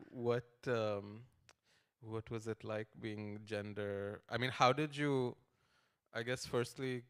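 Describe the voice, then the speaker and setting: A young man in casual conversation, speaking haltingly and often pausing to find his words. His voice sits low and clear, with little rise and fall. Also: fairly bright tone. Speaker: writer and translator.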